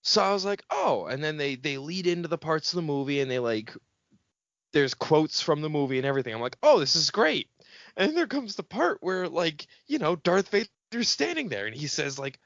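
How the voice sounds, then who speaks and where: A man talking casually as a podcast co-host, telling stories in an exasperated, animated way. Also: on the high side, a little fast, no room sound. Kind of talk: casual chat. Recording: dull lo-fi podcast audio, dry.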